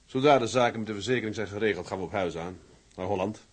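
An actor playing a resolute, plain-spoken tugboat captain in a radio drama, terse and decisive. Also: male voice, quick pace, slightly rough. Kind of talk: Dutch radio drama acting. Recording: rumbling engine noise behind.

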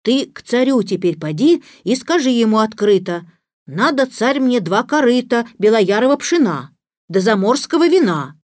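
A small enchanted storybook horse, voiced by a woman, loyal, wise and gently chiding. It counsels tenderly in rhyme, with a high voice and a close, dry sound. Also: sing-song, normal speed, dry recording. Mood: chiding, reassuring. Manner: tender, coaxing, advising. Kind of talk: dramatized verse fairy-tale reading.

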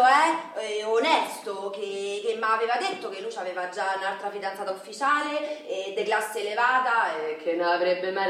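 An actress playing a frustrated, naive and dreamy working-class Roman wife in a radio play, rambling colloquially with a Roman accent. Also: female voice, mid-range voice, sharp tone. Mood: wistful, amused. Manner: colloquial, rambling, tags phrases with "no?" and "eh". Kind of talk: theatrical radio drama monologue in dialect. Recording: roomy, echoing, distant mic, faint noise.

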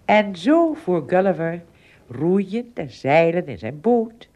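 A woman with a husky voice narrating an adventure radio play as scripted storybook narration. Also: on the low side, very animated, slow pace, dark tone.

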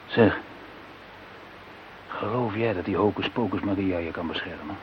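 An actor playing a tender, amorous fiancé in a radio play, murmuring intimately. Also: male voice, moderate pace, lightly acted. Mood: quietly questioning. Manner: low-key, intimate murmuring. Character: tender, amorous male fiancé named Maarten. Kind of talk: Dutch radio play acting. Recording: muffled, hissy radio drama recording.

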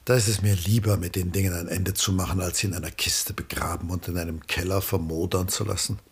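Deep, very husky, world-weary artist. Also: male voice, fairly even intonation, exaggerated voice. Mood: guarded, weary. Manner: measured, guarded, hesitant. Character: reserved, world-weary older artist, evasive and melancholy. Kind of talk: 1960s German radio play acting.

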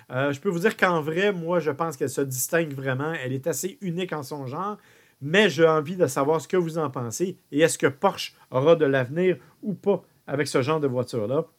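A man's voice: on the high side, normal speed. Chatty man hosting car radio. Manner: chatty, frequent "euh" and repeated words. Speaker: radio show host. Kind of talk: car review radio talk.

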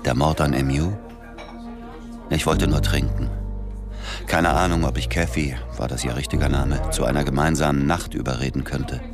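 A man's voice: extremely low pitch, steady tone, moderate pace. An actor playing a grieving, hard-drinking man who narrates a radio thriller in terse, clipped inner monologue. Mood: brooding. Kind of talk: radio play first-person narration. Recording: loud music bed.

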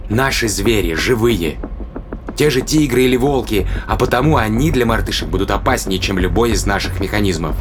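A man's voice: on the low side, close to an everyday voice. An actor playing a determined, risk-taking man in an audio drama, arguing insistently and persuasively with rhetorical questions. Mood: urgent reasoning. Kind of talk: dramatic audio play acting.

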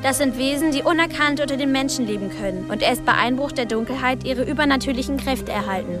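A woman plays a timid yet knowing girl of twelve in a radio drama. Her voice is high and youthful, earnest and confiding. There is music clearly behind her. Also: fast, natural voice. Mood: earnest, secretive. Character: timid but knowing twelve-year-old island girl. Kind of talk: radio play acting.

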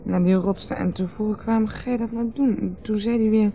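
An actress with a Netherlands Dutch accent playing a dreamy, teasing wife who rambles and repeats herself in a radio drama. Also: female voice, mid-range voice, steady tone, a little fast, gravelly, a little hiss or hum, natural voice. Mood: rambling, evasive. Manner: rambling, repetitive, musing. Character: dreamy, rambling, teasing wife, drinking under a full moon. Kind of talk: 1970s radio drama acting. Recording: dull old radio recording with music.

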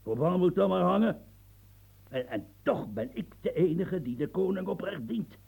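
An actor playing a proud, coarse old French marquis and marshal in a radio play, gruff and boastful, muttering one moment and shouting the next. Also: male voice, sits high, animated, normal speed, full character voice. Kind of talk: radio drama acting.

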